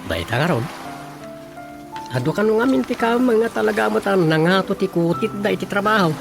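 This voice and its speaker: Higher-pitched earnest village official. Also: male voice, lively intonation, brisk, gravelly. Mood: explaining. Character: talkative male village official reporting to his chief. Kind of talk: Ilocano radio drama acting. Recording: music under the dialogue.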